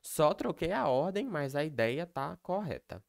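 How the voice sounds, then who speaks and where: A man's voice: on the high side, big pitch swings, a little slow, vocal fry. A young man, giving a recorded online lecture. He explains step by step and keeps checking in with the listener. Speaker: teacher.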